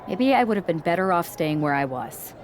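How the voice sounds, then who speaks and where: A woman's voice: on the low side, big pitch swings, fairly quick. A hard-edged female fighter in a video game story. She talks with dry sarcasm and simmering anger, over faint background noise. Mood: sarcastic. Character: tough angry female wrestling rookie, former MMA fighter, sardonic. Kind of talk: video game cutscene acting.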